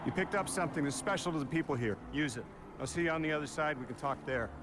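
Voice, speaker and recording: A man's voice: a little high, fast talker, very noisy, close to an everyday voice. A man playing a wary, wry investigator in a science fiction game cutscene, probing in a casual way. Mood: wry, guarded. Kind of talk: video game cutscene dialogue.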